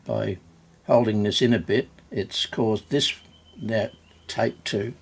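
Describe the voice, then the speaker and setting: A man giving a casual, unscripted hands-on demonstration as a hobbyist video host, hesitant and halting as he thinks aloud. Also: lowish voice, steady tone, vocal fry, husky.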